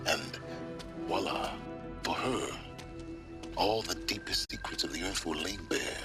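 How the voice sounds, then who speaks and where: Deep-voiced, cynical older scholar. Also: male voice. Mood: reflective. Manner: measured, eloquent, sardonic. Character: older cynical male scholar, calculating and secretive. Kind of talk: dramatic video game dialogue. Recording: loud music behind.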